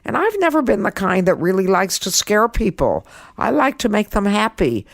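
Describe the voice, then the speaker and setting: A woman, speaking as a podcast host in a deliberate, emphatic way. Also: medium pitch, sing-song, vocal fry, no room sound, studio quality. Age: 80s. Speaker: guest podcast host, comedian and author. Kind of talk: podcast monologue with writing tips and story reading.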